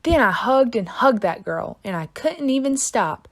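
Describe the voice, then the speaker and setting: A woman narrating a children's book aloud in an expressive, dramatic storytelling style. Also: on the high side, unhurried.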